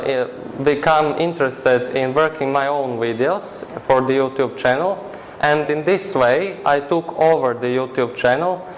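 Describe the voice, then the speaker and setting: A teenage boy from Croatia with a Croatian accent, a native Croatian speaker answering interview questions in an earnest, measured way with halting phrasing. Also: medium pitch, a little hiss or hum, low-quality recording. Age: teens. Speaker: interview guest.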